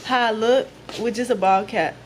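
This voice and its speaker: A woman's voice: on the high side, fairly even intonation, normal speed, noisy background. A twenty-year-old woman vlogging at a hair salon, chatting casually and playfully to the camera in slang. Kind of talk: casual vlog chat to camera.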